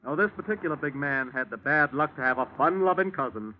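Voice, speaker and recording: A man's voice: fairly high pitch, lively intonation, fast, lightly acted. A man with an American accent playing an earnest, genial army chaplain turned pastor in a 1940s radio drama, speaking in a folksy, pleading way. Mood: grave, confiding. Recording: muffled, noisy 1949 radio recording.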